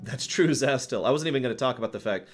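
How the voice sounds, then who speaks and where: A man's voice: medium pitch, fast, faint music behind. A man hosting a livestream, talking casually about games to a live chat in an emphatic, rambling way, often repeating phrases for stress. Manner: rambling, digressive. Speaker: livestream host.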